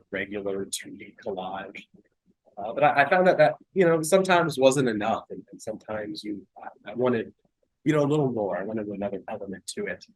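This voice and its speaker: A man's voice: on the low side, breathy edge, dry recording, poor audio. A young man from North Carolina giving a casual art demonstration, sounding nasal from a cold and chatting loosely with lots of fillers, recorded in his studio over earbuds. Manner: relaxed, chatty, with many fillers and restarts. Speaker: mixed-media artist giving a demo. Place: home art studio, indoors.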